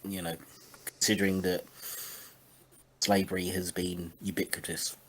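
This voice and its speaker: A man's voice: sits low, level tone, measured pace, fairly bright tone, slight background noise, poor audio. A man giving casual, sarcastic commentary in a panel chat, with hesitant phrasing. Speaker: commentator on discussion show.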